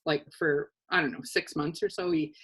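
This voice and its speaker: A middle-aged woman with a low voice, co-hosting a casual chat and telling stories with dry humor and frequent laughter. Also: steady tone, moderate pace, a bit bright, breathy edge. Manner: chatty storyteller, dry humor, laughs often. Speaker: co-host. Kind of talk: casual chat on a live show.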